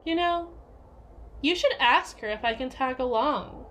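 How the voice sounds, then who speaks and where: A woman performs a domineering yandere in an audio roleplay, jealous and conniving. Her high voice swings expressively as she taunts with theatrical, biting sarcasm. A faint noise sits behind the recording. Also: expressive, a little slow, a bit bright. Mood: mocking, sly. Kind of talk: ASMR roleplay audio drama.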